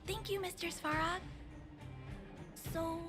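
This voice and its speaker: A woman voicing a gentle, earnest young girl in a video game, polite and sincere. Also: very high voice, steady tone, slow. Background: game music.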